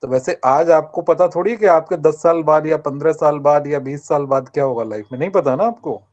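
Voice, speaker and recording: A man's voice: fairly high pitch, brisk, darkish tone. An older man from Rajasthan giving students an earnest motivational talk and asking them to answer back. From Rajasthan, India. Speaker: guest speaker at a college workshop. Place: online video meeting. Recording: dull lo-fi online call audio.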